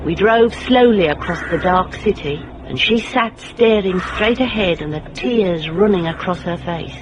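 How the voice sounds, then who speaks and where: A woman's voice: fairly low pitch, animated, measured pace, noisy background. An actress playing a shrewd female private investigator in a radio drama, speaking and narrating in a wry, controlled, pointed way. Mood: wry, reflective. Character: shrewd, steely female private investigator, quietly morally indignant. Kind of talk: radio drama acting and first-person narration.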